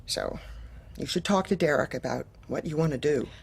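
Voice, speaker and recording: An actress playing a cold, manipulative corporate manager in an audio drama, clipped, controlled and falsely polite. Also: female voice, low-pitched, moderate pace, gravelly, a little mellow, slightly rough, exaggerated voice. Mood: coolly threatening. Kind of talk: audio drama acting, dark comedy.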